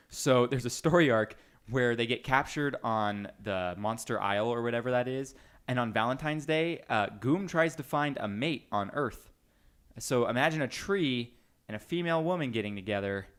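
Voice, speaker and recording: A man's voice: medium pitch, clean tone. An American man hosting a podcast in a casual, informal, joking chat style. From United States. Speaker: podcast host.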